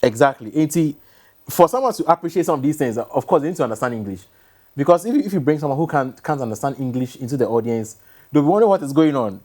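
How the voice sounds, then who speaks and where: Ghanaian guy, talks really quick, explaining stuff in an interview, bit of twi mixed in. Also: medium pitch, expressive, a little mellow. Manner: explains point by point, drops in Twi words. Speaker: stage play producer, interview guest.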